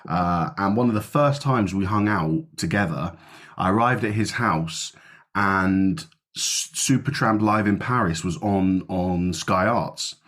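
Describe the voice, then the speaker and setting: A man hosting a podcast in casual chat, sniffly with a cold, chatty and quick to laugh. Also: low-pitched, fairly bright tone. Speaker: podcast host. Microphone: video call.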